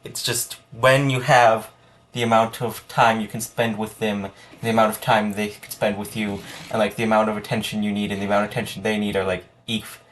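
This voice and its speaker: A man giving casual, unscripted reaction commentary as a video host, in a halting, rambling way with thoughts that trail off. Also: lowish voice, level tone, fairly bright tone, slight background noise.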